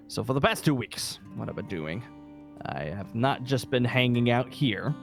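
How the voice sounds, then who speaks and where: A man playing a weary, irritable survivor leader in an improvised zombie game roleplay, talking in a halting, rambling, emphatic way. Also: medium pitch, creaky. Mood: secretive, weary. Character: weary, irritable safe-haven leader secretly seeking a zombie cure.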